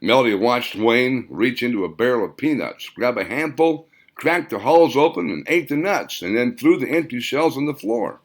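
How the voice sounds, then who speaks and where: A man's voice: mid-range voice, animated, moderate pace, hardly any pauses. A man reading a scripted story aloud as the author and narrator of an audiobook.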